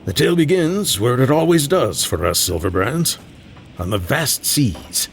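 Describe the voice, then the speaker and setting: Proud, teasing old grandfather, creaky and animated fantasy storyteller over background ambience. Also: mid-range voice, a little slow. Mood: grave, dramatic. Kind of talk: fantasy audio drama acting. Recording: clear background ambience noise.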